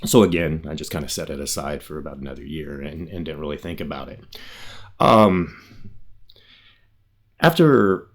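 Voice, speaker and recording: A middle-aged man telling stories casually in an interview, with an animated, emphatic and salty way of talking. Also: a bass voice, normal speed, pauses often. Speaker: writer and creator of a radio drama.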